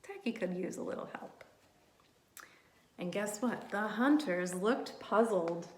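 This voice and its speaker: A woman reading a storybook aloud to young children, expressively, pausing to put questions to her listeners. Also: medium pitch, lively intonation, a little hiss or hum. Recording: roomy, echoing sound.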